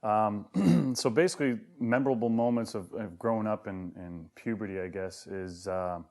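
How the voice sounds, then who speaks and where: A man with a deep voice that tends to hoarseness, talking haltingly with many fillers about growing up. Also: darkish tone. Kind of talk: personal interview recollection.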